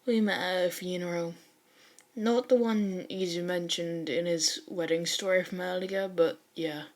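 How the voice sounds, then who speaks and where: A woman voicing a bored, sardonic teenage boy with a drawling, deadpan delivery in a story reading. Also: on the low side, measured pace, close to an everyday voice. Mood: bored, dry.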